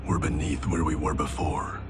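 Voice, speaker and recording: An actor playing a stoic wounded fighter in a video game, terse, subdued and strained by pain. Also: male voice, very deep voice, flat delivery, normal speed, over music. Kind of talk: video game cutscene acting.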